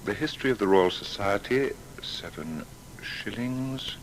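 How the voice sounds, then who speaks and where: An actor playing a proud, fastidious young male scholar in a dramatised historical monologue, with deliberate, precise, declamatory delivery. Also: fairly low pitch, animated, a little hiss or hum, poor audio. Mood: measured, meticulous.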